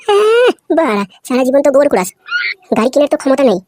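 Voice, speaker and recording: A woman's voice: high voice, very animated, rapid-fire, very noisy. A woman voicing a cocky, rude young man in a crude slapstick cartoon, brash and full of insults. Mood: snobbish, scornful. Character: cocky rude new car owner Lalu, boastful young man.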